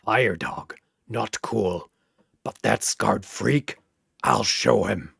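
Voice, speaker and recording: A man voicing a cocky, bad-tempered living snowman who taunts like a street tough in a gravelly rasp, in a fantasy battle story. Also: lowish voice, very slow, fairly bright tone, no echo, voice pushed down, full character voice. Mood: menacing swagger. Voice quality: gravelly, rasping.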